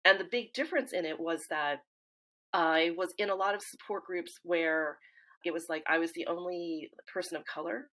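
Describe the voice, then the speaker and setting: A woman from the US East Coast, a guest in an interview, speaking in a reflective, measured way with frequent fillers and restarts. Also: lowish voice, a bit bright. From East Coast of the United States. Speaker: interview guest, grief support founder.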